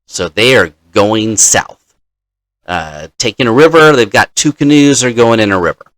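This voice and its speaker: A man in the role of dungeon master, casually telling a story from his game session in a halting, deliberate manner. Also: lowish voice, expressive, fairly bright tone, dry recording.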